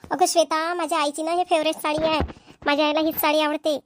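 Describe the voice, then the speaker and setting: A woman's voice: high voice, little pitch movement, quick pace, a little hiss or hum, lightly acted. A woman voicing a chatty, fussy young village girl in a cartoon comedy, with a Vidarbha Marathi accent. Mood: chatty, teasing.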